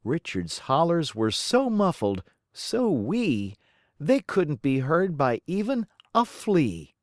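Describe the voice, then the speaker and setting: A woman reading a children's rhyming storybook aloud in a theatrical, deliberate, rhythmic way. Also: deep voice, darkish tone, dry recording, clean studio sound. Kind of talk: expressive children's storybook narration.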